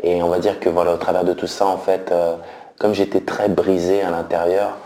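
A man in his thirties from France, giving a slow, hesitant personal faith testimony with many pauses and fillers. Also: low voice, little pitch movement, warm tone, echoey room. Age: 30s.

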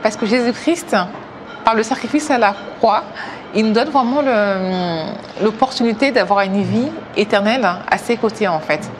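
A woman's voice: on the high side, slight background noise. Hesitant woman telling her faith story. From Africa. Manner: hesitant, many pauses and fillers. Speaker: testimony speaker. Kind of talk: personal faith testimony.

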